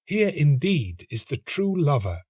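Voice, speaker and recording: A tender little nightingale from a storybook, voiced by a male narrator. She speaks in a raised, unhurried voice, lyrical and full of earnest, romantic wonder. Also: on the high side, big pitch swings, darkish tone, breathy edge, no echo, voice pushed up, exaggerated voice. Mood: wondering, admiring. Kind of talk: storybook reading.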